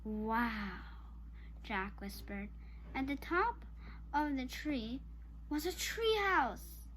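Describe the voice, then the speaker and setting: High-pitched child reading a storybook aloud, slowly. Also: sing-song, fairly bright tone, slight background noise, low-quality recording. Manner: informal, apologizes and speaks straight to listeners. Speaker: storybook reader for online viewers.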